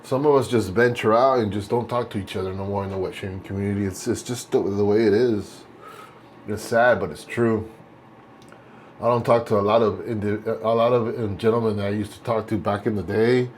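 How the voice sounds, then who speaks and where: A man's voice: fairly low pitch, fairly even intonation, a little fast, slight background noise. Laid-back man in his late forties, rambling. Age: 40s. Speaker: vlogger. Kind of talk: casual chat while shaving.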